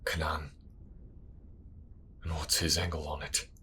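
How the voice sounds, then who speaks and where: A man playing a subdued, guilt-ridden bishop in a fantasy audio drama, breathy and terse. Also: a bass voice, level tone, a little slow, sharp tone, a little hiss or hum, full character voice. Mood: subdued, guilty.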